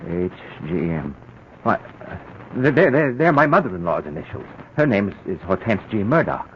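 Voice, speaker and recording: A guilty, jittery husband, who stammers through lies in a lowish voice that jumps up and down. The sound is muffled and a little hissy, like an old radio broadcast. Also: male voice, very animated, normal speed. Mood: nervous. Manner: flustered, stammering. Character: nervous guilty husband hiding a crime. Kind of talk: 1940s radio acting.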